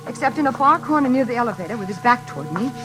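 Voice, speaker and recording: An actress in a 1940s radio suspense drama playing an anxious, frightened woman in her thirties, speaking haltingly and dramatically. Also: a little high, lively intonation, close to an everyday voice. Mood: uneasy. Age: 30s. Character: frightened single woman haunted by her mother's killer. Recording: old radio recording, faint music behind.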